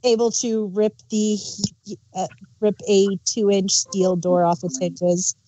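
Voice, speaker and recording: A woman co-hosting a podcast, chatting casually about an audio drama with short, laid-back replies and soft laughs. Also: medium pitch, fairly even intonation, slow pace, slight background noise, poor audio. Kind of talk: casual review chat.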